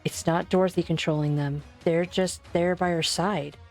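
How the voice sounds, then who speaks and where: An amateur actress in a fan dub playing a composed, analytical young investigator with a direct, questioning, level-headed manner. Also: female voice, fairly low pitch, steady tone, moderate pace, darkish tone, faint music behind, natural voice. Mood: probing. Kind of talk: amateur fan dub game drama acting.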